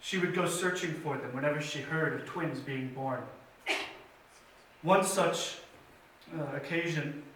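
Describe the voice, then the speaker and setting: A man tells a story at church story time with an earnest, measured delivery, pausing now and then. His voice is bright and fairly high, caught by a distant mic in a very echoey hall with faint room noise behind him. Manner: earnest, measured telling with pauses and fillers. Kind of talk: children's story time retelling. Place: church sanctuary.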